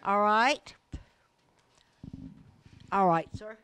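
A woman's voice: mid-range voice, drawn-out words, a bit bright, slight background noise. An older woman hosts a birthday gathering, teasing and chatting fondly with a handheld mic in a community room. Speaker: event host. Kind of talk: casual hosting with a handheld mic. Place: community center party room, indoors.

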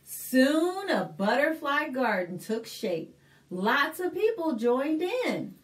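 A middle-aged American woman hosting a storytime, reading a picture book aloud to young children in an expressive, deliberate way with simple explanations. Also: sits high. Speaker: children's librarian and storytime host. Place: small indoor room, plain backdrop.

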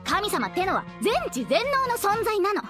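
Anime audio drama role: vain, whiny goddess, very high voice, wildly animated, haughty. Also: female voice, music in the background. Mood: haughty, indignant. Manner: haughty, dramatic, quick to plead.

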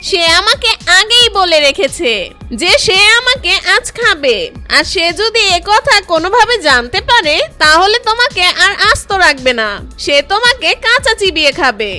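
Woman as a high-voiced plucky little mother bird. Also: sing-song, moderate pace, pushed and bright, soft background music, exaggerated voice. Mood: defiant. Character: small clever mother bird, caring and plucky.

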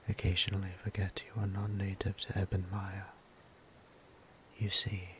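A man playing a gentle, courteous vampire priest in an intimate ASMR roleplay, speaking formally and tenderly in a hushed whisper with deliberate pauses. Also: low-pitched, rough recording. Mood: gentle, bashful, then reverent, explaining. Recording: clear ambient background noise.

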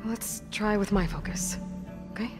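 An actress plays a determined young woman adventurer in a video game. Her mid-pitched voice is direct and matter-of-fact, guarded but kind. Music is clearly audible underneath. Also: a little slow, natural voice. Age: young adult. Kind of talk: video game dramatic acting.

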